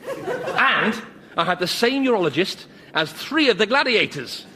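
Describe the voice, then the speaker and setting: An actor playing a vain, self-pitying damned sinner in a radio comedy, whining and pleading melodramatically. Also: male voice, high-pitched, very animated, measured pace, sharp tone, slight background noise, exaggerated voice. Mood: bitter, self-pitying. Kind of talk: studio radio comedy acting.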